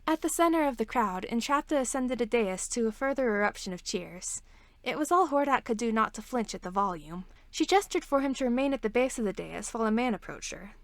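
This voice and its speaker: Higher-pitched woman reading a story. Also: expressive, fairly quick, dry recording. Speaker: podfic reader. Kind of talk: scripted story narration.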